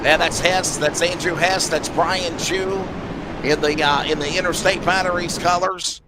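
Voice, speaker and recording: Man, hoarse, raspy, race commentator, fairly high voice, loud engine noise behind. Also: fairly even intonation. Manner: rapid rundown of race order. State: hoarse from allergies. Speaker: play-by-play commentator. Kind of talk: sim racing broadcast commentary. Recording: noisy track sound behind.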